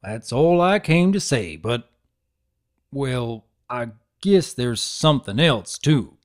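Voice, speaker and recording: A woman's voice: very deep voice, expressive, exaggerated voice. Woman doing a shy, folksy farm stallion. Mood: hesitant. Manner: hesitant, folksy, halting. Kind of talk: dramatic audiobook reading.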